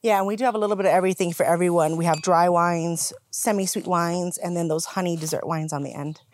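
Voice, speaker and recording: Fast-talking upbeat woman. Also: on the low side, steady tone, a little hiss or hum. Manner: upbeat, welcoming. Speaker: winery staff. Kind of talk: casual chat.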